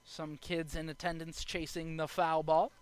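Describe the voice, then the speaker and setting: A young adult man doing live baseball play-by-play as the announcer, speaking in short clipped phrases with measured pauses. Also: on the high side, flat delivery, clear, resonant tone. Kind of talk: live sports play-by-play commentary.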